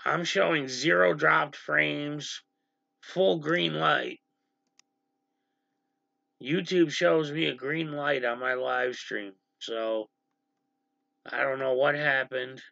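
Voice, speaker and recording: A man with a gravelly voice hosting a casual livestream, talking animatedly and with exasperation to his chat. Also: on the high side, no echo. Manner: animated, exasperated, quick asides to the stream chat. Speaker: livestream host. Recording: slightly dull lo-fi stream audio.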